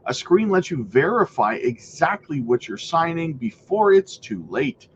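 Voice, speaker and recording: A man's voice: fairly high pitch, sing-song, muffled recording, slight background noise. Stuffy-nosed man hosting crypto news. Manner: exasperated asides, frequent "guys", halting restarts. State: stuffy nose, coming down with a cold. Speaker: crypto news channel host. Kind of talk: casual news commentary and reading articles aloud.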